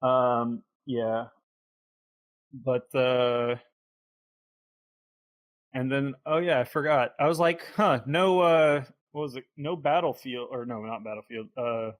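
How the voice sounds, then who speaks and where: A man co-hosting a podcast in casual conversation, with halting, hedging phrasing. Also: medium pitch, steady tone. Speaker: podcast co-host. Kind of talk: casual chat.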